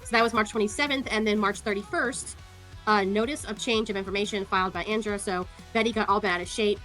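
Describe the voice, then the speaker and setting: A woman's voice: medium pitch, fairly even intonation, fast talker, a bit bright, faint music behind. A woman giving casual, sarcastic commentary as she walks through court records, scornful and free with swearing. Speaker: online commentator.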